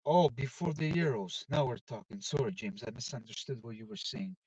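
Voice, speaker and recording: A man hosting a casual live football chat from inside a car, talking loudly and excitedly and dropping Italian football phrases into his talk. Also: medium pitch, fairly quick, breathy edge, dry recording. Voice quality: loud voice. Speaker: live-stream football show host. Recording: dull, distant, dry car recording.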